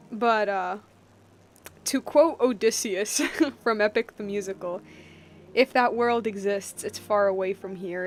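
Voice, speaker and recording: A woman live-streaming a game, chatting casually and reacting out loud to the story in an expressive way. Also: medium pitch. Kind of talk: casual live game stream commentary, reading dialogue aloud.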